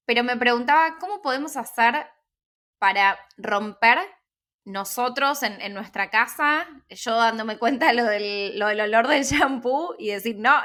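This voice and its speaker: Woman interviewer, halting and conversational. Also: medium pitch, sharp tone.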